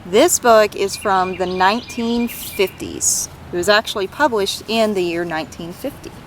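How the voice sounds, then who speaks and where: A woman reading a storybook aloud to children in an expressive, gentle way, as a read-aloud host. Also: medium pitch, normal speed, a little hiss or hum.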